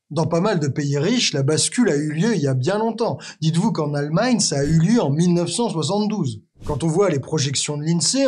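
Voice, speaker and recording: A man hosting an educational video, narrating a script in a clear, teacherly way with the odd wry aside to the viewer. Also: on the high side. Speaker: explainer video host.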